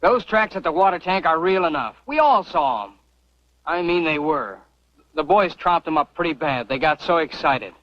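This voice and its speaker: Casting: stubborn, earnest Western camp believer, American, high-voiced; dull vintage radio sound. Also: male voice, lively intonation, normal speed, a bit bright. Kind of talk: old-time radio drama acting. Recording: dull, noisy old radio recording.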